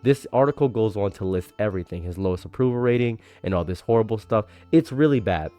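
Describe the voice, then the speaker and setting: A man's voice: on the low side, animated, fast talker, mellow, soft background music. A young man from Egypt who moved to America as a child, giving casual, emphatic political commentary as a streamer, sometimes reading aloud and repeating phrases for emphasis. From Egypt, moved to America at age eight. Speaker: streamer and commentary video creator.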